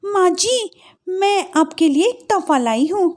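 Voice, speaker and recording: A woman's voice: extremely high pitch, animated, unhurried, darkish tone, pitched above the performer's own voice. A woman voicing an earnest young city-bred daughter-in-law in a story cartoon, polite but easily startled and exasperated. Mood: eager, polite. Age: young adult. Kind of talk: moral-story cartoon voice acting.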